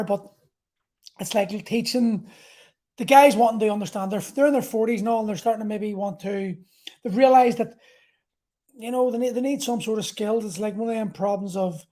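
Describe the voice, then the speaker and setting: A man in his forties with a soft, mellow tone hosts a casual solo podcast. He talks like a coach sharing his own experiences, candid and loosely rambling, with salty language. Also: sits high. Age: 40s. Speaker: podcast host and martial arts coach. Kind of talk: casual solo talk about personal experience.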